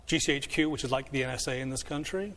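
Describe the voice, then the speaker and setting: A man's voice: medium pitch, quick pace, a bit bright, big-room sound. A man from England, a quiz contestant speaking in a matter-of-fact, dry way.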